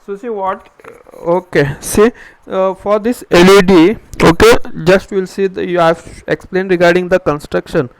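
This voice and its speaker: A man's voice: high voice, fairly even intonation, measured pace, smooth, rough recording. A man with an Indian accent giving a live online engineering lecture, posing questions to himself and repeating phrases as he explains.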